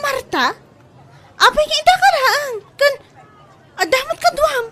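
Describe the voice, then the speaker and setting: A woman's voice: very high voice, very animated, measured pace, over a noisy crowd, very noisy, heavily acted. A woman playing a nosy, teasing market stallkeeper in an Ilocano radio comedy drama, with an Ilocano accent. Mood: teasing, surprised.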